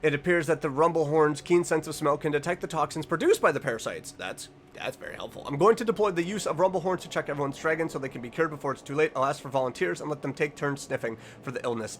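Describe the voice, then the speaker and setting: Higher-voiced man reading and reacting. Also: fast talker, few pauses, a little hiss or hum. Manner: stumbles over words and corrects himself while reading. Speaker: online reaction video host. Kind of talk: reading on-screen text aloud with casual reaction commentary.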